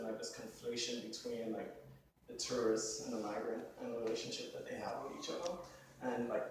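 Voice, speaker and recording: A man from Haiti and the Bahamas talks informally as an artist about his work. He thinks out loud in filler-laden phrases. The recording is very reverberant and distant, with clear noise behind him. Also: mid-range voice. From born in Haiti, grew up in the Bahamas. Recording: echoey room, distant mic, clear background noise.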